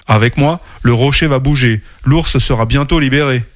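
A man voicing a powerful male bison in a children's story, steady and sure of himself. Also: medium pitch, normal speed, no room sound, clean studio sound, close to an everyday voice.

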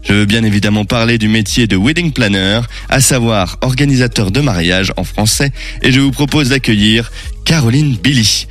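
A man presenting a radio show, reading a scripted introduction in a measured, friendly announcer manner before interviewing a guest. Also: medium pitch, a bit bright, faint music behind. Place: radio studio.

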